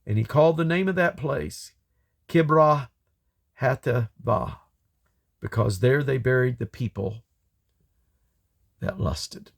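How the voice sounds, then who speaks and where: A man reading scripture aloud in a solemn, deliberate way, breaking the text into measured phrases. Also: mid-range voice, gravelly. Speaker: Bible reader. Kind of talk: scripture reading, King James English.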